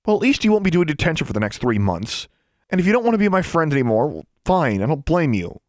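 A man's voice: sits low, lively intonation, fairly quick, a little mellow, breathy edge, no room sound, studio quality, exaggerated voice. A man voicing a headstrong, sullen young schoolgirl witch in a story, defensive and prone to muttering. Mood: angry.

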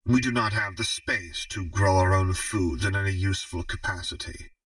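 A man voicing a shrewd, commanding female crime baron in an audiobook, speaking in formal, measured speeches. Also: low voice, a bit bright, no room sound, far from the mic, rough recording. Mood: calm, businesslike.

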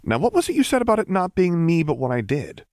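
A man's voice: a little high, sing-song, fast, no room sound, clean studio sound, voice pushed up. A man voicing a good-humored, polite young man with a primly indignant streak in an audiobook. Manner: bright, cheeky, primly emphatic. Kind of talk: audiobook character dialogue.

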